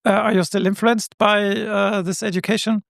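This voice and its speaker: A male podcast host speaks in a high, gravelly voice and puts his questions in a measured way, with a few fillers. The recording is dry and close, with almost no room echo. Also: level tone, a bit bright. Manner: measured, uses fillers when asking questions. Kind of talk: scripted intro then interview questions.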